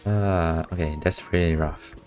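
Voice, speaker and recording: A streamer with a low voice reacts casually to a game. The remarks are muttered and deadpan, and faint game music plays behind them. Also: a little slow. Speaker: live streamer.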